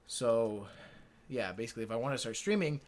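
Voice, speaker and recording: A man's voice: mid-range voice, expressive, fairly quick. A man in his late teens co-hosting a podcast, chatting casually in a rambling, slangy, repetitive way. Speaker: podcast co-host. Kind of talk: casual chat.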